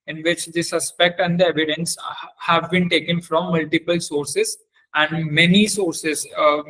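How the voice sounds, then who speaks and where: A man with a moderately high-pitched voice teaches in an informal lecture style. His delivery is halting, and he often repeats phrases as he works through technical explanations. He sounds like a trainer presenting a webinar. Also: fairly bright tone, low-quality recording. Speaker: webinar trainer on forensic audio.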